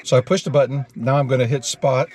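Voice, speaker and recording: A man's voice: medium pitch, quick pace, a little mellow, a little hiss or hum. A man casually narrating as an amateur radio operator, switching between explanation and phonetically spelled contest calls, recorded inside a car. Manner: spells out call signs and numbers in phonetic alphabet.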